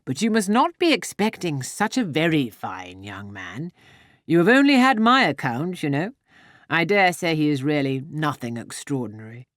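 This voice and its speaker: Audiobook role: jovial middle-aged gentleman, played by a woman, proud father, hearty and emphatic, dry close sound. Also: fairly high pitch, sing-song, normal speed, no room sound. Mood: cheerful, teasing. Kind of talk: audiobook character dialogue.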